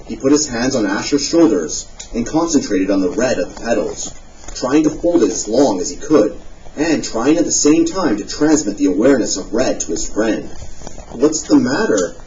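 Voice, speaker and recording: Man, audiobook narration, lively intonation, distant mic, muffled sound. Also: mid-range voice, normal speed. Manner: expressive reading with lively dialogue. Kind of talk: scripted novel narration. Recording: distant, dull sound with faint hiss.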